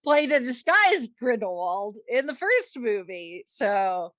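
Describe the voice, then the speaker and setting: Slow-talking woman with a higher voice. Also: big pitch swings, fairly bright tone, no echo. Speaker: podcast panel host. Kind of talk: casual film discussion.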